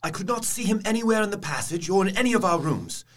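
Guy doing a serious gentleman in a radio play, earnest, voice moves up and down a lot. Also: high voice, animated, quick pace, very noisy. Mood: urgent, shaken. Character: grave young gentleman reporting back from a search. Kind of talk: dramatic audio drama acting.

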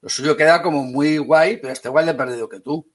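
A man from Spain with a Spanish accent teaching an online class, brisk and teasing, calling on students by name. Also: a little high.

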